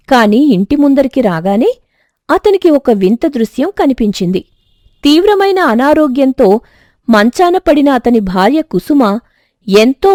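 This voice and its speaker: High, animated woman storyteller. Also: no room sound, clean studio sound. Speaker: storyteller, narrator. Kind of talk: scripted moral-story narration.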